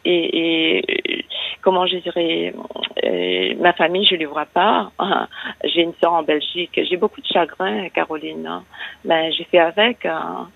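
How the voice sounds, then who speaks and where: Mauritian woman, 60s, radio caller; gravelly, hesitant and halting, heard over a phone line. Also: lowish voice, expressive, no room sound. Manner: hesitant, halting, many fillers and restarts. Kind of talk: personal confession on a call-in show.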